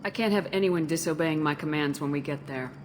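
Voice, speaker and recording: A woman's voice: a little fast, close to an everyday voice. An actress playing a tough, wary female military commander in a video game, blunt, direct and challenging. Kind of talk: video game dialogue acting. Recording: reverberant, noisy game audio with rumble.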